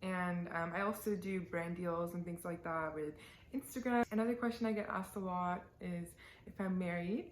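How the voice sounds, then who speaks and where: A woman's voice: fairly low pitch, steady tone, moderate pace, a little hiss or hum. A woman in her twenties chatting casually to camera in a Q&A, giggly and full of fillers. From born in the UAE, raised in Canada. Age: 20s. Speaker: vlogger. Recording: roomy echo.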